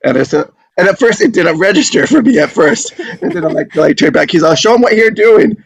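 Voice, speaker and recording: A man's voice: high voice, expressive, brisk, vocal fry, husky, no room sound, dull audio. A man hosting an online table read, chatting in an informal, appreciative way. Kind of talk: casual group video-call chat.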